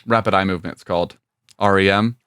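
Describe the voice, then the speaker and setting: A man's voice: low-pitched, fairly even intonation, fast talker, a bit bright, clean tone, dry recording, studio quality. A man co-hosting a casual podcast who thinks aloud, hedging his guesses and joking. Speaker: podcast co-host.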